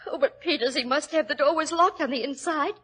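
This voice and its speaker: A brisk young society daughter. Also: female voice, high-pitched, fairly bright tone. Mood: alarmed. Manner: brisk, reassuring. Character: young aristocratic English daughter, soothing. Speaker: Hilda. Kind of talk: 1940s radio acting. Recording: old radio broadcast recording.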